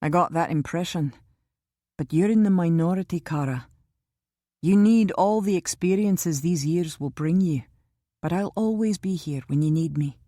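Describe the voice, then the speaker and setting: A woman doing a calm, protective man. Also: fairly low pitch, dry recording, studio quality, natural voice. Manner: measured, reassuring, grimly insistent. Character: calm, protective older man, steady and wry.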